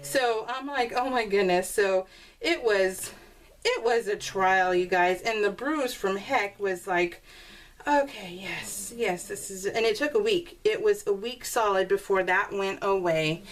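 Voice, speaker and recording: A woman's voice: mid-range voice, slight background noise. A woman chatting casually and telling stories in a rambling way as she hosts a craft video, often acting out what people said. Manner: rambling, animated retelling with quoted dialogue; laughs often while talking. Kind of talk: casual chatty storytelling over a scrapbooking process video. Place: indoors at a craft table. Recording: voice distant from the mic.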